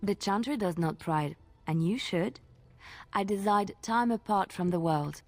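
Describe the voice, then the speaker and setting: An actress with a French accent playing a guarded, gently evasive lay sister and former minstrel in a fantasy video game. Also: female voice, medium pitch, lively intonation, unhurried, no echo. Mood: wistful, evasive.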